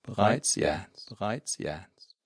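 A man guiding a self-confidence hypnosis session, speaking slowly and softly with long pauses and repeated words. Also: on the low side, lively intonation, creaky, breathy edge, dry recording, studio quality.

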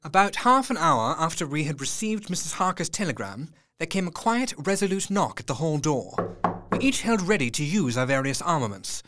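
A grave, troubled Victorian doctor. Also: male voice, a little high, sing-song, moderate pace, a bit bright. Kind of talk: audio drama narration.

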